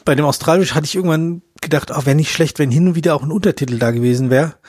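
A man's voice: on the high side, very fast, a little mellow, breathy edge, no echo, studio quality. A man speaking as a podcast host in a casual, colloquial film review chat with slangy turns of phrase.

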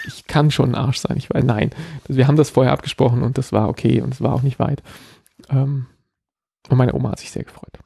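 A middle-aged man with a light Berlin accent and a dark, gravelly voice. He co-hosts a casual podcast, rambling and mocking himself as he tells stories. The sound is dry and close. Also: mid-range voice, no echo. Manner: rambling, self-mocking storytelling. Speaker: podcast co-host.

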